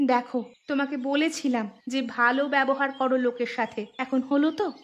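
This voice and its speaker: A woman's voice: high-pitched, fairly even intonation, moderate pace, muffled recording, natural voice. A woman voicing an outspoken shopkeeper's wife in a village cartoon, pointed and dramatic. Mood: worried, reproachful. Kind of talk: cartoon voice acting.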